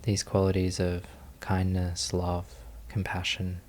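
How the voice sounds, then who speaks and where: A man leading a guided meditation as a teacher, speaking gently and calmly, unhurried, with long pauses between phrases. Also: low-pitched, slight background noise.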